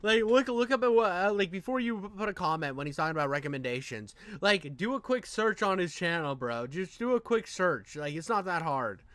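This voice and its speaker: A man's voice: high voice, expressive, a little fast, few pauses, fairly bright tone, no echo. A man doing casual off-the-cuff streamer commentary, rambling and slangy, often repeating his words. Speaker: streamer reacting to a video.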